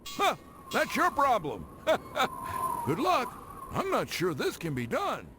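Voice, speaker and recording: An actor playing a wry, folksy, teasing railroad narrator in a game cutscene, giving theatrical briefings with drawn-out emphasis. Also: male voice, extremely high pitch, wildly swooping pitch, noisy background, exaggerated voice. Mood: wry, ominous. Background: music.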